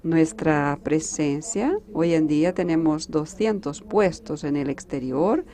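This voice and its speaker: A Brazilian woman diplomat, a native Portuguese speaker, speaking with a Brazilian accent at a conference panel, measured and halting with restarts. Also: sits low, dark tone.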